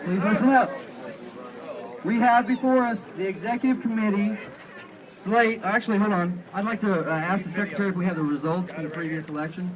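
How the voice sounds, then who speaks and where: A man with a slightly high voice chairs an outdoor assembly. He speaks in formal procedural phrases, deliberate and a little halting, as he addresses the gathering. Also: a crowd in the background, low-quality recording. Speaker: convention chair running a meeting. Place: outdoors at a parking lot gathering.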